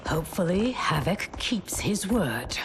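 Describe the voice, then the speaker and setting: A woman playing a proud, devoted warrior empress in a video game, formal and forceful. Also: on the low side, big pitch swings, measured pace, heavy background noise. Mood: weary, tense. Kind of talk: video game cutscene acting.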